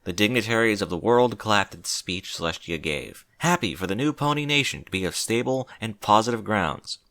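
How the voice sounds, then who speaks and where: A man's voice: fairly low pitch, animated, fairly quick, bright, forceful tone, no echo. A man narrating a scripted fantasy story. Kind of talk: scripted fan fiction narration.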